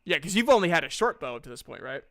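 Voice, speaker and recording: A man's voice: a little high, big pitch swings, racing, fairly bright tone. A man narrating a tabletop game as game master, chatting casually with a playful, sweary manner.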